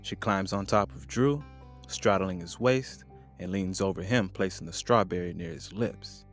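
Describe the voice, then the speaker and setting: Soft guitar music plays behind a man with a fairly low voice. He narrates an audio drama from a script. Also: a little slow.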